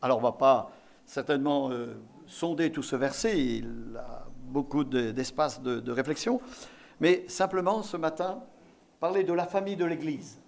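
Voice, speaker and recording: A man's voice: medium pitch, steady tone, normal speed, vocal fry, darkish tone. A man from eastern France preaching a sermon as a visiting pastor, exhorting and repeating key phrases for emphasis.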